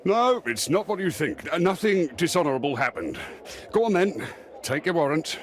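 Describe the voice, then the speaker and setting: An actor playing a mocking, easily flustered police inspector with a British accent in a video game. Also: male voice, on the high side, big pitch swings, normal speed, a little hiss or hum. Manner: mocking, dismissive. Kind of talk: video game cutscene acting.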